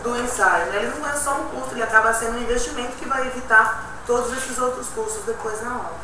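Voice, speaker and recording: A woman's voice: fairly high pitch, fast talker. A woman arguing and explaining at a meeting, tagging her points with "né". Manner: explanatory, argumentative, with many "né". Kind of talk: discussion at a meeting. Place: meeting room. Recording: echoey room from far off, noisy.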